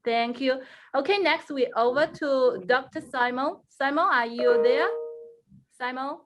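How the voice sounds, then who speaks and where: A woman moderating an online webinar, handing over between speakers with brief, halting remarks. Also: high-pitched.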